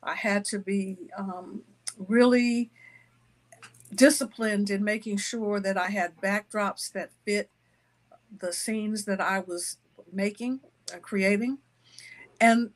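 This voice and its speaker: A woman speaking as an author and interview guest, giving conversational answers with deliberate, emphatic phrasing. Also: medium pitch.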